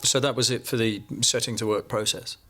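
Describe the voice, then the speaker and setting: An actor playing a safety investigator, questioning in a measured, formal way in a naturalistic training film drama. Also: male voice, mid-range voice, steady tone, bright, forceful tone. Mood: probing.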